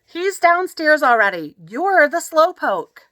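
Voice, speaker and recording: Woman acting ten-year-old girl, storybook character, high pitch, bright, eager, bossy, insistent. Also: takes it slowly, dry recording, full character voice. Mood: impatient. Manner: excitable, insistent.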